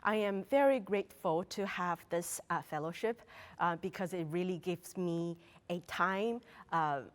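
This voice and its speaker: A woman's voice: on the low side, a little slow. A woman in her thirties from China, Mandarin native, with a Chinese accent, answering interview questions as a research fellow in a thoughtful way with frequent fillers and restarts. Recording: long reverb of a hall.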